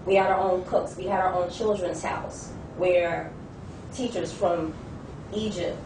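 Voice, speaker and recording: A woman speaks in earnest, piling up details and repeating phrases as she tells her story. She sits far from the mic in an echoey room. There is clear rumbling noise behind her. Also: steady tone, unhurried. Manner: earnest, listing, repeats herself. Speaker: discussion participant. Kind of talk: testimony in a debate.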